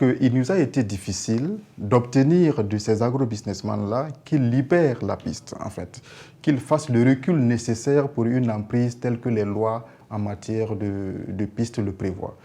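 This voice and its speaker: A man's voice: medium pitch, warm tone. A man with a Burkinabe accent explaining things in an interview as a project representative, often searching for words.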